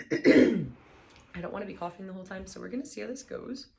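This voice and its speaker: A young woman with a hoarse, slightly lost voice, sounding tired, chatting casually and then reading a book aloud softly. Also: mid-range voice, lively intonation. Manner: tired, soft and unhurried. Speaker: book reader vlogger.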